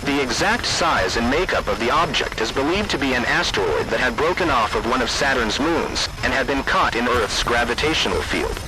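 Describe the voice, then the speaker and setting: A man reading scripted emergency alert announcements in a formal, deliberate manner. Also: medium pitch, rough recording. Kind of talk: scripted emergency broadcast narration.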